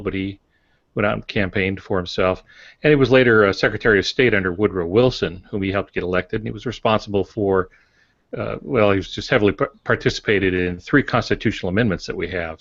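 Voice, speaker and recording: Low-voiced older male author. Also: dry recording. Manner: rambling, with frequent uhs and restarts. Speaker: author and podcast co-host. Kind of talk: casual explanation on a podcast. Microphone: video call. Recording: dull, dry lo-fi sound.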